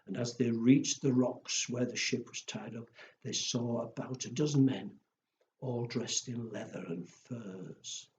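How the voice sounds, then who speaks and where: A man tells stories and rhymes to small children. His phrasing is simple and playful, at a middling pitch. The recording is roomy and a little dull, with faint noise behind him. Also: a little mellow. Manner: playful, simple phrasing with acted woofs and exclamations. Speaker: children's storyteller. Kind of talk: storytelling and counting rhymes for young children. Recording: echoey room, dull sound, faint noise.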